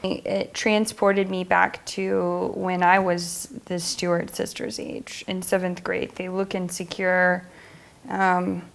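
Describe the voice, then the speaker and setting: A woman's voice: fairly low pitch, flat delivery, slow pace, vocal fry. A woman chatting casually as a guest painter, giving candid, opinionated reactions to pictures. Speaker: guest painter reviewing photographs. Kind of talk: casual chat. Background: faint music.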